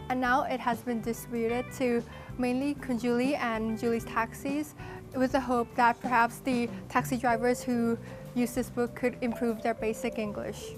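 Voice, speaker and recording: Young woman giving an earnest speech. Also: on the high side. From Thailand. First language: Thai. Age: teens to young adult. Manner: earnest, steady delivery with small self-corrections. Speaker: student speaker at a charity art exhibition. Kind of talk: prepared public speech.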